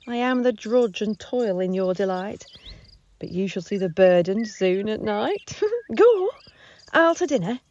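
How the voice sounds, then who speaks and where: A woman's voice: medium pitch, lively intonation, slow, darkish tone. A woman playing a tired, teasing older nurse in a Shakespeare audio drama, rambling and stalling breathlessly. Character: weary, teasing older female nurse, servant and go-between. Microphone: phone, recorded at home. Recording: muffled lo-fi home phone recording.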